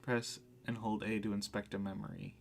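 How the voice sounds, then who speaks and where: A man's voice: lowish voice, little pitch movement, normal speed, vocal fry, faint music behind. A man doing casual live let's play commentary, chatting informally and reading game text aloud as he reacts. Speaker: game streamer.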